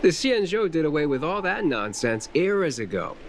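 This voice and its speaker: A man playing a nervous, evasive low-ranking orderly and furtive fixer in a video game, hesitant in his delivery. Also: big pitch swings, normal speed, slight background noise. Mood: dismissive, officious. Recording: loud music behind the voice. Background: game music.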